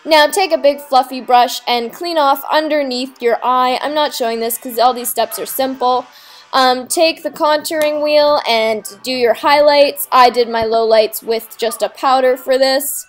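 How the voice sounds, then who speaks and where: A woman's voice: sits high, fairly even intonation, measured pace, faint music behind. A young woman narrating a makeup tutorial in a casual, slangy, breezy way, her voice a little sick and giving out.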